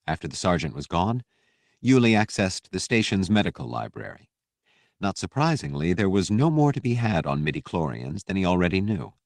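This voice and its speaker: A man reading a fiction audiobook as narrator, with measured, dramatic storytelling delivery. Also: deep voice, no room sound.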